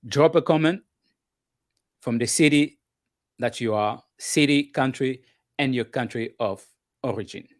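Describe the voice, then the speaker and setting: A man hosting a live relationship show, welcoming viewers and guests in a slow, deliberate way and often repeating himself. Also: medium pitch, no room sound, clean studio sound. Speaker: host of a call-in relationship show.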